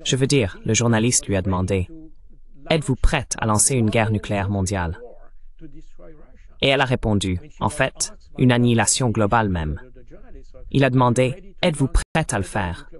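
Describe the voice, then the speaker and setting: A man delivering a translated voice-over of a political interview as the guest analyst, in a measured, explanatory way. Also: fairly low pitch, lively intonation.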